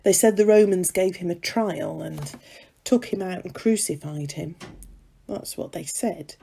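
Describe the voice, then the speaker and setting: A woman reading a dramatic monologue as a gossipy serving maid of ancient times, confiding and conversational, with dramatic pauses. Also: lowish voice, vocal fry, warm tone, slightly rough, full character voice. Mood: sombre, intent.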